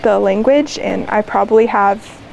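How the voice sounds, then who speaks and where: A woman's voice: medium pitch, normal speed, creaky, darkish tone, a little hiss or hum, low-quality recording. A young American woman in her twenties, answering interview questions in a casual, hesitant way, with lots of ums and likes. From United States. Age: 20s. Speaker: interview guest.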